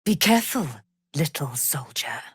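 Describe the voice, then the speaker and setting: An actress playing a proud, wrathful immortal warrior paladin in a fantasy video game, declaiming in grand archaic, formal language. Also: female voice, sits low, big pitch swings, a little slow, husky, no room sound, clean studio sound, full character voice. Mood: solemn, measured.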